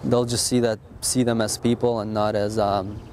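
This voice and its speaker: Flat-voiced young army officer. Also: male voice, lowish voice, normal speed, a little hiss or hum. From born in Russia, raised in Texas. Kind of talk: casual interview answers.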